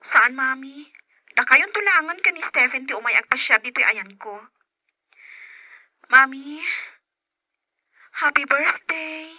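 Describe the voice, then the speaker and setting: Young Ilocano daughter in radio serial: very bright, dry, earnest, wounded. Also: female voice, sits high, slow pace, long pauses, no echo, exaggerated voice, rough recording. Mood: sad, tender. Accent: Filipino Ilocano. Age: young adult. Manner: plaintive, earnest. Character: hurt grown daughter, working, devoted to mother. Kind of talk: radio serial drama acting.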